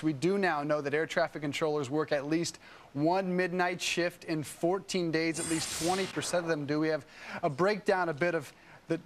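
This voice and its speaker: A man's voice: on the high side, slight background noise. A man hosting a TV morning news show, presenting and questioning in a punchy, direct way. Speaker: TV news host. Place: TV studio.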